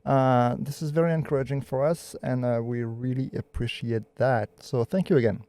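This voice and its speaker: A man from Canada hosting a live podcast in casual chat, relaxed and playful. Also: medium pitch, moderate pace. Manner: relaxed, playful, thanks viewers warmly. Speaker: podcast host.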